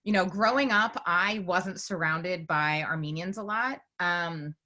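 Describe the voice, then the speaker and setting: A woman's voice: on the low side, a little slow, pushed and bright. A woman in her forties from Northern California, a documentary filmmaker telling her story in a chatty, meandering way on a video call. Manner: chatty, storytelling, many "you know"s. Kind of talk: conversational panel answer.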